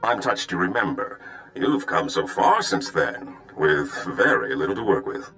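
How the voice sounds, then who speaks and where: Deep, polished underworld schemer. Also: male voice, unhurried, faint music behind, full character voice. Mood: ingratiating. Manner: polished, flattering. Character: smooth-talking, courteous male underworld schemer. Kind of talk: video game cutscene acting.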